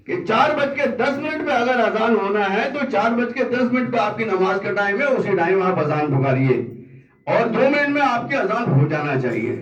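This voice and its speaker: A man giving an impassioned, assertive public address, protesting emphatically and repeating phrases for stress. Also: high-pitched. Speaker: community speaker at a meeting. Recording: echoey room, distant mic, dull sound.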